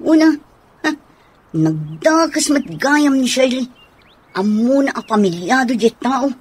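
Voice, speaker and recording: A woman's voice: high voice, lively intonation, measured pace, a little hiss or hum. A woman playing a helpful, agreeable friend in a radio drama, speaking conversationally.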